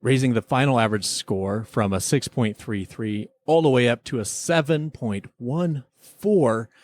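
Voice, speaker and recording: A man's voice: medium pitch, a little mellow, dry recording. A man hosting a podcast, delivering scripted lines in a mock-formal, announcing manner. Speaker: podcast host. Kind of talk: scripted comic courtroom-style podcast narration.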